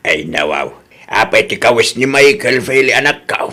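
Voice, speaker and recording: A man's voice: medium pitch, sing-song, normal speed, sharp tone. A man playing an easygoing, mildly grumbly village husband in a radio comedy drama, questioning and colloquial, with a Filipino accent. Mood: disapproving.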